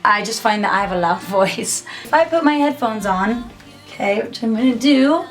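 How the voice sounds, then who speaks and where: A woman's voice: fairly high pitch, animated, moderate pace. A young woman giving a casual, upbeat tutorial to camera from a small home music studio, chatty and full of "like". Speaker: musician and YouTuber.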